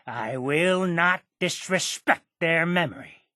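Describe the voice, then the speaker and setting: An actor playing a stubborn, ornery old hermit uncle with a gravelly, raspy voice in a video game. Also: male voice, fairly high pitch, takes it slowly, bright, forceful tone, no echo, clean studio sound. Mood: alarmed, angry. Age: elderly. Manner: ornery, sarcastic, indignant. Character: stubborn, cantankerous old hermit uncle guarding his homestead. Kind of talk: video game character dialogue.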